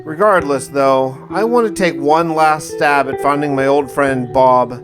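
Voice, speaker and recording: A man's voice: on the high side, unhurried, music in the background. A man narrating his survival gameplay like a diary, telling it casually but dramatically, with wry asides. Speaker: gamer narrating a survival challenge.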